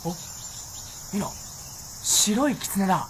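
An actor playing a boisterous castle gate guard who turns servile before his lord, excitable, in a radio drama over noisy effects. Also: sits high, slow pace, heavily acted. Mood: excited, taunting. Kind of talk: Japanese radio drama acting.

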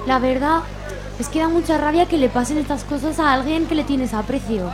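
A woman's voice: high voice, a little fast, music in the background, natural voice. A woman playing a good-natured, fretful young market woman in a radio play, chatty and complaining. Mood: friendly, tired.

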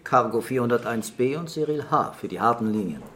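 A man's voice: medium pitch, animated, brisk, a bit bright, close to an everyday voice. An actor playing a brooding, sceptical male police chief, terse and probing, in a crime radio drama.

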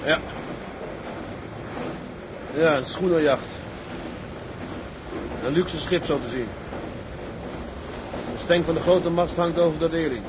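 A man's voice: fairly high pitch, fairly even intonation, slow pace, pauses often, noisy background. A man playing a laconic, decisive tugboat captain in a radio drama. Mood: calm, resigned. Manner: laconic, understated, gives orders plainly.

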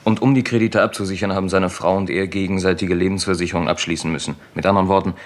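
A man's voice: low voice, steady tone, racing, natural voice. An actor playing an eager junior detective in a radio crime drama, reporting to his chief in a matter-of-fact way. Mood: eager, factual.

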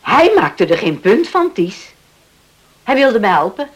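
A woman's voice: medium pitch, sing-song, unhurried, husky. A woman acting a determined, earnest island mother in a Dutch radio drama, speaking insistently. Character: determined island mother pleading to join a sea rescue.

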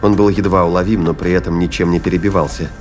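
Deep-voiced male narrator. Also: fairly even intonation, fast talker, darkish tone, a little hiss or hum. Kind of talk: scripted dramatic narration of science fiction.

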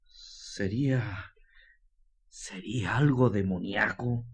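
A man's voice: on the low side, slow, slightly rough, exaggerated voice. An actor playing a troubled, bewildered father in a radio drama, hesitant and questioning.

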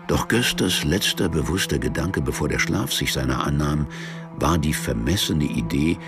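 A man playing the sombre, detached narrator of a radio play, reading literary prose deliberately in a gravelly voice. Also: very deep voice, lively intonation, over loud music. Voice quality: gravelly, creaky. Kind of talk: scripted narration.

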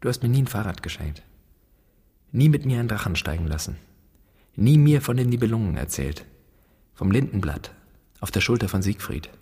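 An actor playing a bitter, reproachful grown son in a literary radio play, declaiming in an accusing, rhetorical way. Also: male voice, fairly low pitch, sing-song, normal speed, pauses often, dark tone, husky. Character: bitter, reproachful grown son confronting his absent father. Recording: long hall reverb.

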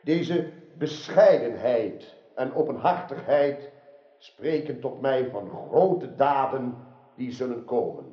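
A man's voice: medium pitch, animated, takes it slowly. Stately king with sweeping intonation. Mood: stately, gracious. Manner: ceremonial, declamatory. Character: noble king, stately, gracious and commanding. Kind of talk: radio drama acting. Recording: dull, roomy radio drama recording.

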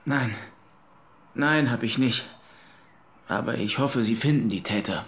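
Guarded young man, mid-pitched. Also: measured pace, fairly bright tone, a little hiss or hum. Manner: curt, defensive. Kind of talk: naturalistic radio drama acting.

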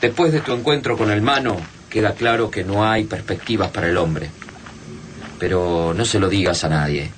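An actor with an Argentine accent playing a wary, pessimistic, sober resistance survivor in a radio drama. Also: male voice, lowish voice, moderate pace, a little hiss or hum, close to an everyday voice. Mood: bleak, resigned.

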